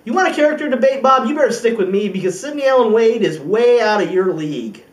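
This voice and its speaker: A high-pitched male voice delivers a resolute presidential speech as an amateur actor's monologue. He is emphatic and indignant, pausing deliberately for weight. The sound is roomy and distant from the microphone. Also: expressive. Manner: emphatic oratory with deliberate pauses and rhetorical questions. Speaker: amateur acting student. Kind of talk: rehearsed film monologue as a political speech.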